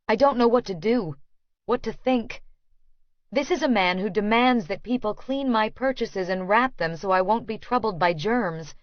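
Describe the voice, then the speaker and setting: A woman reading first-person fiction as an audiobook narrator, with a measured, dramatic delivery. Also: mid-range voice, no room sound, lo-fi sound.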